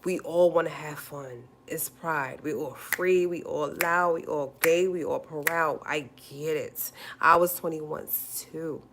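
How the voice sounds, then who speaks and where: A forty-year-old woman talks straight to her livestream viewers. Her voice sits very low, and she hammers her points home by repeating them. She calls listeners honey as she goes. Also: steady tone, takes it slowly, hardly any pauses, noisy background. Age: 40s. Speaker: livestream host. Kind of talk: casual livestream talk.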